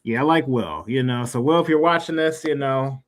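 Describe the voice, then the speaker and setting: A man's voice: medium pitch, moderate pace, a crowd in the background. A man hosting a casual livestream interview, talking informally with his guests. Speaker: podcast host and interviewer. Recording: noticeable background noise.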